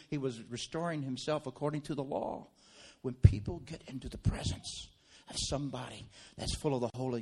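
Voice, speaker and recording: A man preaching a sermon in a church. He tells stories quietly, then leads loud, fervent prayer. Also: mid-range voice. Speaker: guest preacher.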